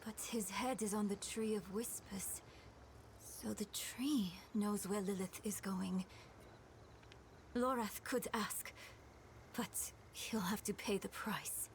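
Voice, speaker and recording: Grave young female companion for a game cutscene; earnest, unhurried, mid-range. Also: mid-range voice, fairly even intonation, a little hiss or hum. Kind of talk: dramatic video game cutscene acting.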